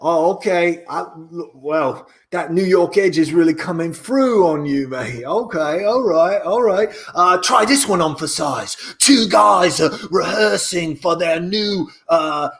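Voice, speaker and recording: A man's voice: high voice, unhurried, few pauses, fairly bright tone. A man playing a pushy, rambling South London punk bandmate in an improvised comedy scene. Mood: chummy, rambling.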